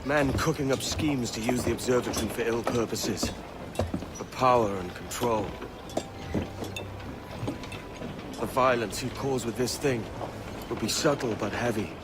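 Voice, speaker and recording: An actor playing a rugged, weary pirate captain in a video game, with a grave, resolute, plain-spoken manner. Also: male voice, medium pitch, normal speed, very noisy, natural voice. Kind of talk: video game cutscene acting.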